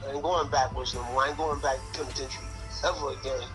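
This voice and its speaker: A man's voice: mid-range voice, fairly even intonation, fast talker, over music, low-quality recording. A man in his late fifties telling prison stories in a casual, streetwise way, ending almost every phrase with "man" or "son". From Connecticut. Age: 50s. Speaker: interview guest.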